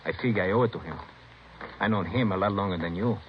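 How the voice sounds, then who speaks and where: A man's voice: fairly low pitch, fast talker, lightly acted. A man playing a stubborn, suspicious street hoodlum in a vintage radio crime drama, with slangy, insinuating talk. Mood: suspicious, needling. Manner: slangy, insinuating, uneducated grammar. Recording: muffled vintage radio recording, faint noise.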